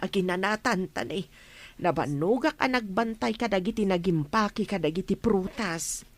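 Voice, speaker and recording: A woman's voice: mid-range voice, animated, moderate pace, lightly acted. A woman playing a concerned, kindly, fussing village woman in a radio drama, worried and admonishing. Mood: motherly worry. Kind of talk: Ilocano radio drama acting.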